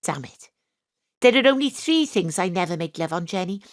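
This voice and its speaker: A woman's voice: fairly low pitch, animated, moderate pace, no room sound, natural voice. Actress as a wry Welsh lady detective, close-miked. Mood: brisk, flirty. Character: brisk, tongue-in-cheek Welsh woman private detective. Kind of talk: comic audio drama acting and narration.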